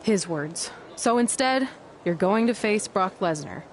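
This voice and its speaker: A woman's voice: mid-range voice, lively intonation, a little slow. A woman acting as a blunt, exasperated young pro wrestler, sarcastic and no-nonsense in a scripted drama. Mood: matter-of-fact. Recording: noisy location sound with ambience.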